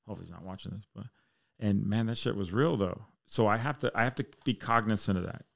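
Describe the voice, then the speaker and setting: American guy on a podcast, low gravelly voice, candid and slangy, talking like he's with a buddy. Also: quick pace. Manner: candid, slangy, frequently saying bro and man. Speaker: podcast guest, military fire chief. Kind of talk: casual podcast chat.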